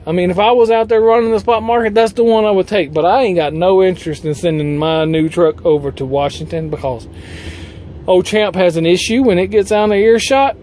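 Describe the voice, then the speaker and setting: High-pitched folksy trucker man, mellow tone. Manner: folksy, colloquial. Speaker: truck driver and vlogger. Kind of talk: casual explainer vlog.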